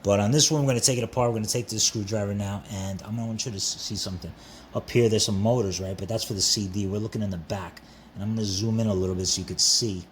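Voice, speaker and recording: A man's voice: fairly low pitch, fast. A man narrating a hands-on how-to in an informal way, repeating himself as he talks the viewer through each step. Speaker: repair tutorial host. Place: indoors at a workbench. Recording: steady background noise.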